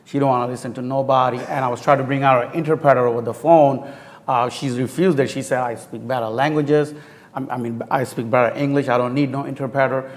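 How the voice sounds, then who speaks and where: A man on a panel, speaking earnestly and haltingly with non-native grammar about his work with immigrants. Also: medium pitch, steady tone, in a large hall. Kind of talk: panel discussion. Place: university forum hall.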